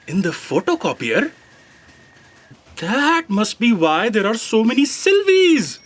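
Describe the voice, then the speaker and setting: Woman playing a snide office aide, lively pitch. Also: takes it slowly, noisy background. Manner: dry, snide, dutiful. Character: dry, dutiful female office aide with a snide streak. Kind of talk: scripted comic audio drama.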